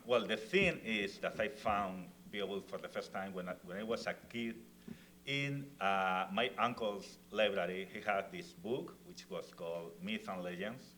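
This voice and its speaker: Low voiced spanish guy talking on a panel, lots of uhs and restarts, lapel mic. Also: fairly bright tone, slight background noise. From Spain. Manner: halting, many fillers and restarts. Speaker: festival panelist, comics author. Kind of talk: panel talk on stage. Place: university hall, in front of students. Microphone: lavalier clip mic.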